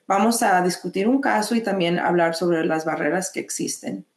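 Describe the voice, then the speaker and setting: A woman with a Mexican accent presenting a mental health lesson, measured, pausing often to restart phrases. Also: lowish voice, reverberant. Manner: measured, with frequent hesitations and restarts. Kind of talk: educational webinar presentation.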